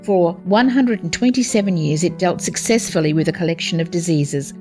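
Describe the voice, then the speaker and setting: A woman narrates history in a steady, informative, scripted way. Her voice sits fairly low, and faint music plays underneath her. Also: fairly quick.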